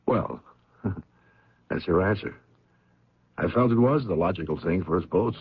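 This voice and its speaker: A flustered man being questioned in a radio drama. His lowish, husky voice jumps around as he stammers and hedges defensively. The recording is an old, dull radio one. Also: animated, heavily acted. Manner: halting, stammering, hedging. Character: evasive, flustered man under police questioning.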